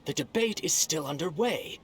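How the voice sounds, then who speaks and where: An actor playing a stern, impatient debater in an anime game. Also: male voice, on the high side, animated, normal speed, fairly bright tone.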